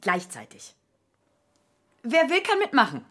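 An actress playing a brisk, no-nonsense emergency doctor in a radio drama, giving direct instructions in a husky voice. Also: female voice, on the high side, wildly swooping pitch, fairly bright tone, heavily acted. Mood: instructing.

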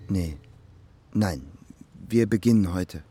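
An actor playing a brooding, stubborn young poet and bricklayer in a radio play, musing and understated. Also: male voice, low-pitched, takes it slowly, warm tone, breathy edge, soft background music, full character voice. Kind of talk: radio drama acting.

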